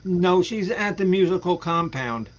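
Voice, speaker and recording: A man hosting a casual music quiz show, teasing the players with quick playful asides. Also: sits high, lively intonation, muffled recording. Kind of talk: casual live-stream banter.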